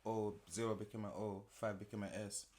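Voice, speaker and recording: A man, telling stories in a relaxed way with slang as an interview guest. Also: on the low side, brisk. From Nigeria. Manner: relaxed storytelling with slang, laughs often. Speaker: music producer and interview guest. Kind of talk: casual interview chat.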